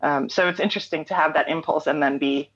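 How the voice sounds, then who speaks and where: A woman's voice: sits low, fairly even intonation, fast talker, dull audio. A woman guest speaking candidly in a casual interview, reflective, with frequent ums and restarts. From North Carolina. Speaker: interview guest, singer-songwriter.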